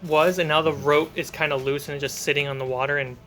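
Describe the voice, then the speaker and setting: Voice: medium pitch, fairly even intonation, brisk, fairly bright tone. A game master narrating a tabletop fantasy adventure and calling for dice rolls. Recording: background music under the voice.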